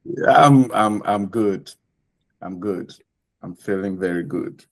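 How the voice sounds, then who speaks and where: Ghanaian guy answering interview questions, really slow n halting, emphatic, dry sound. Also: lowish voice, dry recording. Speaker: interview guest, scholarship winner.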